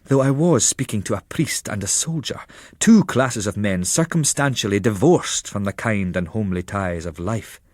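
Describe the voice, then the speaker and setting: A man reading a literary travel memoir as narrator, in a measured, expressive storytelling manner. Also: lowish voice, no room sound, studio quality.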